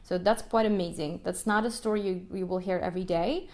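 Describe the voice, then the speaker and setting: A woman's voice: fairly low pitch, fairly even intonation, a little fast, a little mellow. A woman in her thirties from Ukraine, a native Russian speaker, talking casually on her podcast, often laughing and adding tag questions. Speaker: podcast host and music teacher. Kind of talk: casual live podcast monologue.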